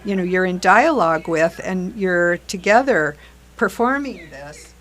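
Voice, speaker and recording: An older woman speaks as a guest in a casual interview and thinks aloud about performing. Her voice sits on the low side. She talks haltingly, pausing and restarting often. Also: lively intonation, a bit bright, rough recording. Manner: hesitant, many fillers and restarts. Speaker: guest, theater group facilitator.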